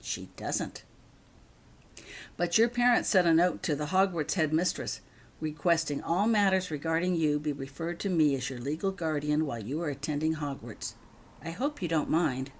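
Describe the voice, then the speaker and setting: A woman voicing a calm, kindly grown man in a quiet, conversational way for a story. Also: low-pitched, moderate pace, husky. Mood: calm, reassuring.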